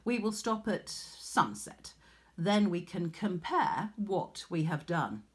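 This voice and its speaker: A mighty storybook warrior goddess, played by a woman, speaks with stern authority. Her delivery is slow, measured and commanding, pitched rather low. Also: sing-song. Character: tall, powerful, stern armoured warrior goddess.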